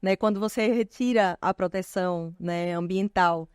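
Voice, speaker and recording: A woman with a Pernambuco accent speaks in a smooth, clear voice. She explains her points thoughtfully and often tags her phrases with "né". The sound is close and dry. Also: fairly low pitch, fairly even intonation, moderate pace, no echo. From Recife, Pernambuco, Brazil. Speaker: guest. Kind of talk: casual interview chat.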